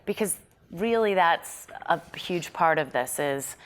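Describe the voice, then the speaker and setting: A woman's voice: lowish voice, lively intonation, a little slow, slight background noise. A woman talking casually through a script as an actor, precise about wording and reading lines out as she checks them. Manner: precise, detail-minded, asks for approval. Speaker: actor at a script table read.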